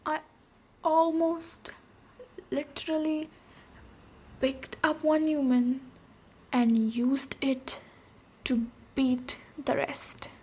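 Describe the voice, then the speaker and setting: A woman playing a boisterous, protective female ogre warrior in an ASMR roleplay, speaking deliberately with pauses between phrases. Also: high voice, level tone, mellow. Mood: boastful storytelling. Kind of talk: ASMR roleplay, storytelling and direct address.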